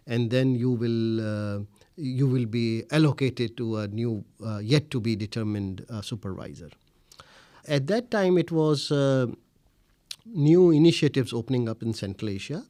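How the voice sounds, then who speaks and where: Measured Urdu-speaking Indian man, dry sound. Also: medium pitch, warm tone, no echo. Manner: measured, frequent "uh" pauses, formal phrasing. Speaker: interviewee, scholar. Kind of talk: reflective interview answers.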